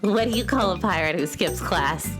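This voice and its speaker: A woman's voice: lowish voice, lively intonation, normal speed, over music, natural voice. Woman playing cheeky, wisecracking schoolboy. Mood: jokey. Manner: teasing, jokey. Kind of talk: children's audio story acting.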